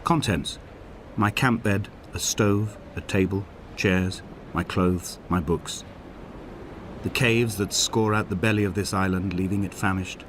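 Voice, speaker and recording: An actor playing a grieving, weary male castaway, narrating a video game's literary monologue in a solemn, measured, poetic way. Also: lowish voice, a little hiss or hum, lightly acted. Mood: weary, desolate. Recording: voice over music.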